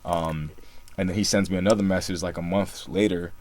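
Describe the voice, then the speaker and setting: A man's voice: deep voice, fairly even intonation, brisk, a little mellow. A young man from Singapore co-hosting a podcast, telling his story in a laid-back way with lots of fillers. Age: young adult. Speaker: podcast co-host. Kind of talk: casual podcast chat.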